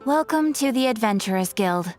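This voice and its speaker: A woman voicing a courteous, composed guild receptionist in a video game, measured and formal. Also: fairly high pitch, expressive, darkish tone, soft background music, lightly acted.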